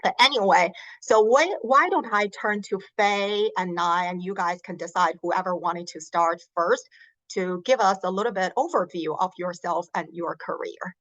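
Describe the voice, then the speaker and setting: Low-voiced woman moderating a call. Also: normal speed, fairly bright tone, no echo. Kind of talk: hosting a career webinar. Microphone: video call. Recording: dull lo-fi call audio.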